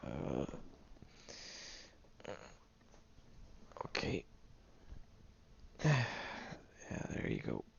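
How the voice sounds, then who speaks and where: A man playing a gruff, gravelly reluctant friend. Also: rumbling low, dark tone, a little hiss or hum, exaggerated voice. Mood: awkward. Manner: grumbling, hesitant, awkwardly tender. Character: prickly gruff young man, secretly caring friend. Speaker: audio roleplay performer. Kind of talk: audio roleplay to the listener. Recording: dull lo-fi recording.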